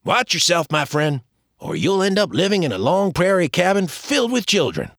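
A man voicing a good-humored young frontier friend who teases playfully in a lively voice. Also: high-pitched, normal speed, creaky, no echo, studio quality, pitched above the performer's own voice, full character voice. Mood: teasing. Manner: playful, gently mocking.

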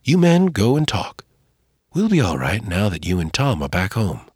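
A man voicing a weak, feverish frontier mother who speaks faintly and slowly. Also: on the low side, wildly swooping pitch, a little mellow, no echo, clean studio sound, exaggerated voice. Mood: weak, reassuring. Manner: faint, weak, breathy and slow.

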